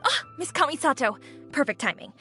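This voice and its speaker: A woman's voice: high voice, very animated, fairly quick, bright, forceful tone, full character voice. A woman voicing an eager, welcoming female eatery owner in a video game, polite and upbeat. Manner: polite, upbeat, service-minded. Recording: music behind the voice.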